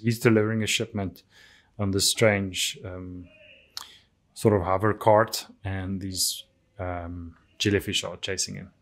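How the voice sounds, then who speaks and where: Man, fairly low voice, Afrikaans native speaker, casual art walkthrough, halting, lots of ums. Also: fairly bright tone. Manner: hesitant, many ums and restarts. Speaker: artist reviewing his drawings. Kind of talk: casual narration over artwork.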